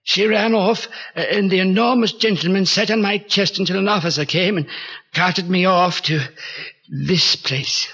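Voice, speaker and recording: A fussy, timid butler in an old radio drama. His voice is high and sharp, with formal, flustered phrasing and a slightly rough edge, on a muffled vintage recording. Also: normal speed, heavily acted. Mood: shaken, deferential. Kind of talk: 1940s radio acting.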